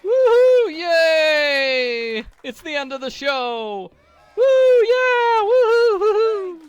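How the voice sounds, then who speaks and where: A man's voice: very high-pitched, big pitch swings, very slow, dull audio. A man doing playful game walkthrough commentary with a goofy, mock-serious manner.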